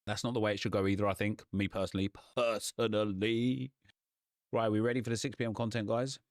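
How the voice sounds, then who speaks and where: Brief: young male gaming streamer, a bit hoarse, low voice, rants casually, dry close mic. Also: level tone, dry recording. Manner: chatty, ranting, quick asides. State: slightly hoarse.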